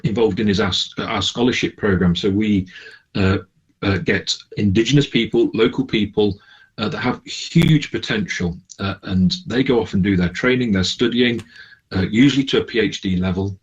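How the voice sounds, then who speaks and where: On a somewhat dull recording, a man answers as an interview guest. His voice is fairly low and a little husky, and he explains in a conversational, halting way. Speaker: interview guest, charity manager.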